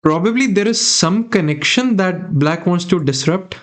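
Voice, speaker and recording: A man's voice: high-pitched, animated, moderate pace. A young man from India with an Indian accent, thinking aloud as he comments on a chess game and chats with his viewers from a small room. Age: young adult. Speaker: chess streamer.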